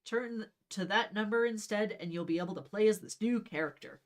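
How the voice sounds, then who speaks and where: A woman's voice: mid-range voice, a little fast, slight background noise. A woman reading a story aloud on a livestream in a wry, conversational way, mixed with casual chat.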